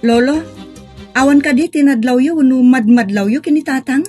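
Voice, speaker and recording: An actress with a northern Philippine accent playing an inquisitive, protective daughter in an Ilocano comedy drama. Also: female voice, sits high, normal speed, loud music behind the voice, lightly acted. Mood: questioning, defensive. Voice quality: husky. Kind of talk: Ilocano comedy radio-style drama acting.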